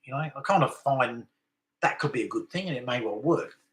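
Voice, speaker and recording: A man's voice: mid-range voice, animated, fairly quick, a bit bright. A man talking casually to camera in a daily vlog, rambling and self-mocking, often tossing in tag questions like "right?". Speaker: vlogger. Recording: roomy echo.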